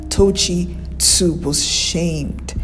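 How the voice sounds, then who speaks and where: A woman narrating a scripted folktale in a measured, dramatic storytelling manner. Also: lowish voice, faint music behind.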